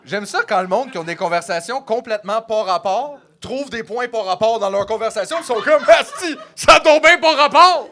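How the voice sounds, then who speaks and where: A young man with a Québécois French accent chats on a comedy podcast. His voice sits high and sounds bright and sharp. He jokes and mocks, slipping into put-on voices. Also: moderate pace, few pauses. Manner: joking, animated, mocking voices. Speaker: podcast co-host. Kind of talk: casual comedic chat.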